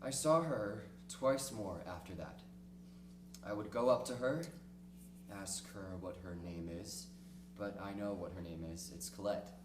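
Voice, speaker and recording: Distant, echoey man acting a self-doubting teenage boy. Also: on the low side. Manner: self-deprecating, sarcastic. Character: lonely, self-doubting male high school student narrating his life. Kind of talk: dramatic reading of a stage play. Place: theatre stage, live performance. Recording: distant, echoey, noisy theatre recording with hum.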